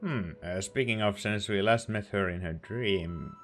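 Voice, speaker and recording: A woman's voice: rumbling low, measured pace. A woman doing casual let's play commentary in a laid-back, slightly hesitant way. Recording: game music faintly behind.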